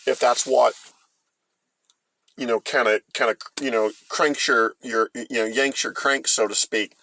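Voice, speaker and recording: A middle-aged man with an American accent, telling a story casually in a halting, rambling, folksy way. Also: medium pitch, fairly even intonation, creaky, sharp tone. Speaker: host of a storytime vlog.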